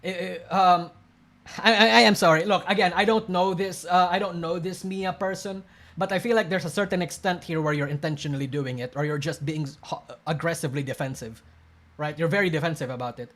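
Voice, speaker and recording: A man reacting to clips on a live stream, rambling and blunt, swearing often, restarting sentences, and breaking into sudden loud bursts. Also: high-pitched, steady tone, fairly quick. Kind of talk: casual live-stream commentary.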